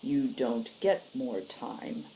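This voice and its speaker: A woman's voice: extremely low pitch, big pitch swings, slow, gravelly, dark tone, slight background noise, heavily acted, low-quality recording. A woman voicing a stern, weary, blunt female agent in a story.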